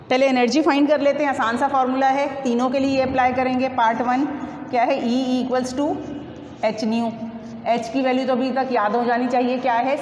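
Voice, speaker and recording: A woman's voice: high voice, a little mellow. A woman teaching step by step like a classroom physics teacher, posing questions and answering them herself, mixing in English technical terms. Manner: mixes Hindi-Urdu with English technical terms. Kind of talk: step-by-step classroom lesson working through numericals. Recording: echoey reverberant room, clear background noise.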